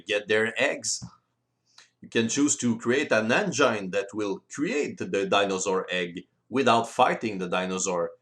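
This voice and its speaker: Male game-rules presenter, native French speaker with French accent, explanatory delivery, slightly halting phrasing, mid-range voice. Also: mid-range voice, fairly bright tone. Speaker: board game designer and presenter. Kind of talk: explanatory tutorial voice-over.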